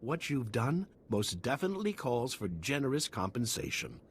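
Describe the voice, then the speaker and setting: Effusive scientist, wildly animated delivery. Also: male voice, mid-range voice, normal speed, a little hiss or hum. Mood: grateful. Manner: formal, effusive, elaborately polite wording. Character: Republic doctor, a grateful, formal male scientist. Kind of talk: video game dialogue.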